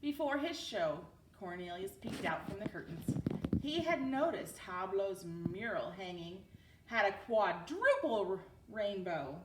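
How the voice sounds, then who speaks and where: A woman narrates a children's story in an unhurried, animated way. She sounds a little distant in a roomy space. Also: mid-range voice. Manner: expressive read-aloud delivery. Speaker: teacher reading a storybook aloud. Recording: roomy, distant mic with background noise.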